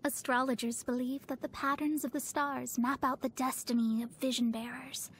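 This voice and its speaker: A woman's voice: high voice, little pitch movement, normal speed, fairly bright tone, slight background noise. A woman voicing a proud young female astrologer in a video game, speaking formally and pompously with theatrical delivery. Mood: proud, solemn. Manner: formal, pompous, precise wording. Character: proud young woman astrologer, haughty, scholarly, easily flustered.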